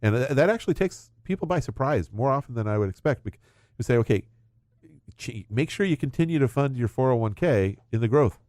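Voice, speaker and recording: A man's voice: medium pitch, lively intonation, brisk, darkish tone, no echo, studio quality. A middle-aged man, a financial advisor, explaining retirement investing in casual chat with hypothetical examples.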